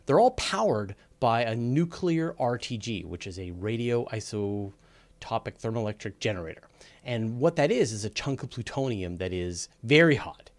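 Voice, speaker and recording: A man hosting a live question-and-answer show, explaining things in an informal, conversational way. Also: mid-range voice. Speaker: host of a live space and astronomy Q&A show.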